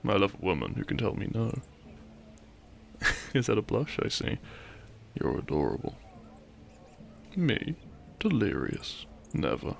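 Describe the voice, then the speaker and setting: Man acting a cheeky low-voiced bandit outlaw. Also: gravelly, a bit bright, a little hiss or hum, full character voice. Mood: teasing, flirtatious. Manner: teasing, gallant, dramatic. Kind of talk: audio roleplay acting, addressing the listener.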